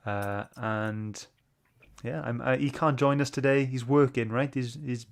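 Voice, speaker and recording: A man's voice: mid-range voice, fairly even intonation, a little slow. Casual man hosting a chatty livestream. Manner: informal, chatty, greets chat viewers by name. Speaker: host. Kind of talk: casual livestream book club chat.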